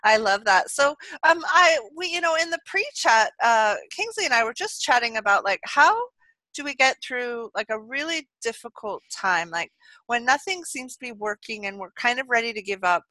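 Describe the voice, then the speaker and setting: A bright, upbeat woman hosts an interview show and keeps her guest talking with an eager, chatty manner. She leans on fillers like "like" and "you know." Her fairly high voice sits in a dry, close recording. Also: lively intonation, moderate pace, no room sound, rough recording. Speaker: podcast host.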